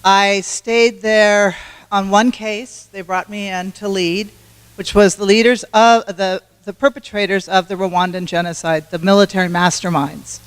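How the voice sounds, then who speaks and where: A woman giving a campaign speech to a club meeting, loud and self-assured, with restarts. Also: mid-range voice, steady tone, measured pace, clear, resonant tone, in a large hall. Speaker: congressional candidate.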